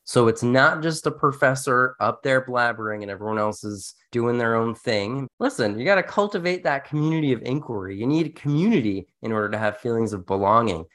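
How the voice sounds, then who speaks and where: A man's voice: mid-range voice, animated, brisk, hardly any pauses, a bit bright. A man speaking as a podcast co-host in an informal, conversational discussion, explaining things with casual asides.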